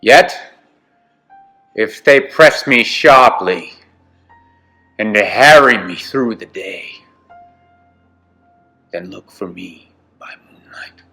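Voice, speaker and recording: A man reading poetry dramatically, swinging between hushed whispers and forceful lines. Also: lowish voice, expressive, takes it slowly, vocal fry, pauses often, soft background music. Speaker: poem reader.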